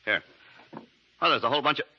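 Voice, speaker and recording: A man's voice: medium pitch, fast talker, breathy edge, natural voice. An actor playing a cowardly, jittery frontier henchman in a 1930s radio drama, stammering nervously. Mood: nervous, evasive. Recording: muffled 1930s radio broadcast.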